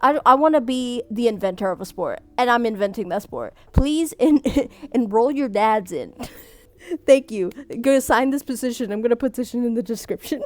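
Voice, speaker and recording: A woman's voice: fairly high pitch, animated, normal speed, no echo. A young woman chatting casually while reading viewer submissions, Vietnamese as her first language, laughing often and trailing off mid-sentence. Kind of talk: casual chat.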